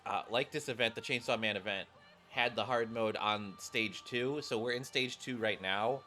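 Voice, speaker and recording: A man's voice: on the low side, moderate pace, sharp tone, a little hiss or hum. A man giving casual, blunt reaction commentary as a game streamer, grumbling and swearing as he goes.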